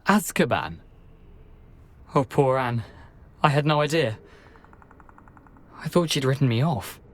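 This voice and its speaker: A jaunty gentleman ghost with a mid-pitched voice that rises and falls widely. He is droll, courteous and theatrical, in the manner of a fantasy game character. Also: lively intonation, slow. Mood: shocked, regretful. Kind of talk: video game voice acting.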